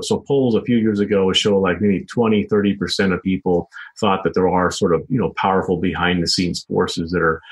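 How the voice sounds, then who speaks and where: An American man explaining his views as an interview guest, in halting, repetitive phrasing full of filler phrases and hedges. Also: low-pitched, husky, rough recording. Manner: halting, repetitive phrasing with many "you know" and "sort of" hedges. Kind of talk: informal explanatory interview answers.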